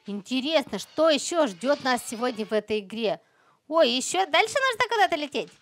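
A woman's voice: high-pitched, very animated, brisk, no echo, clean studio sound, faint music behind. A woman hosting a children's game play-along, chatty and exclamatory, talking directly to young viewers. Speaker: host of a children's gaming channel.

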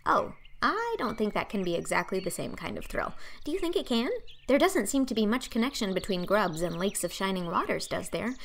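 A woman's voice: mid-range voice, sing-song, a little fast, gravelly. Woman playing a breathless, dreamy, chatty young girl. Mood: eager, earnest. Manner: breathless, effusive, earnest. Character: dreamy, chatty, imaginative orphan girl of eleven.

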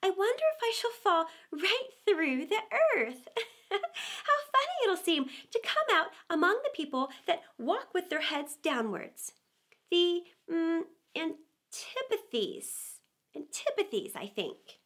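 Woman voicing a high, animated, curious little girl. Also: slow pace, full character voice. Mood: wondering. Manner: musing aloud to herself, earnest. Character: curious, chatty little girl tumbling into wonder. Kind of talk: children's storybook read-aloud.

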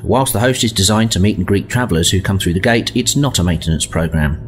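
A man's voice: deep voice, fast talker, slight background noise, close to an everyday voice. A man playing an expert starship advisor who explains formally in a sci-fi audio drama. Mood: regretful.

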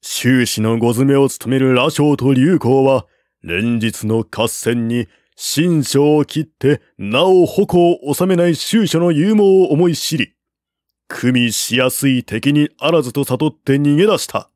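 A man narrating a classical war novel as an audiobook, in a measured, even storytelling manner. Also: medium pitch, no echo, studio quality.

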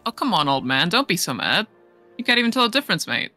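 Woman voicing a bright, determined young cartoon hero. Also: lowish voice, very animated, fast talker, dry recording, faint music behind. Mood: teasing. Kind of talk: amateur game fandub.

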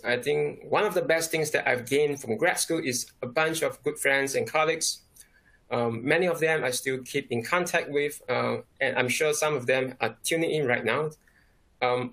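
Man in his thirties, scientist presenting over webcam; gravelly, halting with fillers. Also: mid-range voice, a bit bright. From Singapore. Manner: measured, many "um" fillers. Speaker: chemist giving a symposium talk. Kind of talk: recorded academic talk. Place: indoor office.

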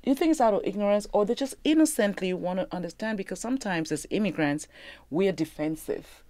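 A woman's voice: medium pitch, animated, fast, warm tone, no room sound. A middle-aged woman with a Cameroonian accent, hosting a conversational interview in a studio. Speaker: interview host. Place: small radio studio, indoors. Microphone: studio mic on boom arm.

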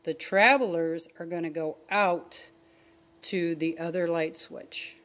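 Middle-aged lady walking thru a diy job step by step, lowish voice, slow pace. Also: steady tone, a bit bright. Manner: step-by-step, plainspoken. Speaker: DIY video host. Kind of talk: casual hands-on tutorial narration.

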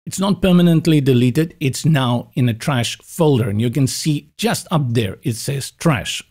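A man's voice: medium pitch, moderate pace, darkish tone, husky. South African man teaching website setup. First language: Afrikaans. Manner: patient step-by-step explaining. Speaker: web design instructor. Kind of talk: screen-recorded tutorial walkthrough.

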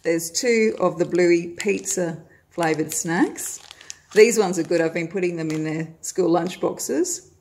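A woman's voice: fairly low pitch, unhurried, a little mellow, slight background noise. A middle-aged Australian woman at home, chatting casually as she films a grocery haul from above on her phone, with plenty of "uh" fillers. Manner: friendly, chatty, with frequent "uh" fillers. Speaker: vlogger. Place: home, indoors, at a table of groceries.

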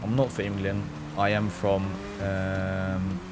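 A man's voice: low voice, monotone, takes it slowly, loud music behind the voice. A man from Norway with a Norwegian accent, chatting casually and swearing freely as he streams a video game. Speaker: live streamer. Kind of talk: casual gaming livestream commentary, chatting with viewers. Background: game ambience with vehicle sounds.

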